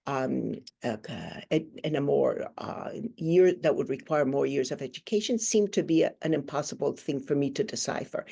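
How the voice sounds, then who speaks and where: A woman in her sixties from Guatemala, giving a thoughtful, earnest interview answer over a video call and pausing often to search for words. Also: deep voice, warm tone. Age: 60s. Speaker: guest, hand therapist.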